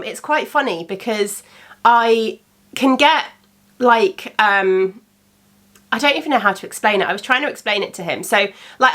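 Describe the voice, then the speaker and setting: A woman's voice: mid-range voice, sharp tone. A woman in her thirties hosting a crafting podcast, chatting casually with many ums while a little congested from a cold. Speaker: podcast host. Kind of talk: casual chat.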